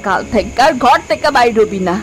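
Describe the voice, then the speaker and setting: A woman's voice: fairly high pitch, expressive, normal speed, slight background noise, natural voice, poor audio. A woman playing a strict, worried village mother in a cartoon, sarcastic and scolding. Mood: scornful scolding. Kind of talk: dramatic cartoon voice acting.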